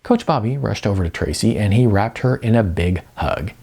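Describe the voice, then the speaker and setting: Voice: deep voice, expressive, normal speed, vocal fry, background noise. A narrator reading a novel aloud as scripted storytelling. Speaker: audiobook narrator.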